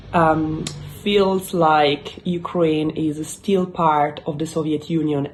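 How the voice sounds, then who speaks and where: A young Italian-accented woman hosts her channel, talking slowly in a low voice. She explains things earnestly but haltingly, with lots of fillers, and a faint hiss sits behind her. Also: a little mellow, poor audio. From Italy. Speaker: YouTube channel host. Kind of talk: casual explainer talk to camera.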